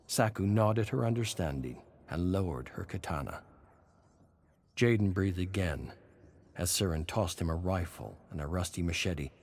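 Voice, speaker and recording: A man narrating scripted horror fiction in a dramatic, deliberate storytelling manner. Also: sits low, a little mellow, slight background noise.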